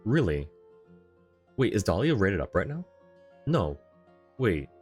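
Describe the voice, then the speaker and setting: Low-voiced man streaming a game casually. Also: sing-song, takes it slowly, darkish tone, husky. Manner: rambling, thinking aloud, informal. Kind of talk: casual live-stream commentary, chatting with viewers. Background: faint game music.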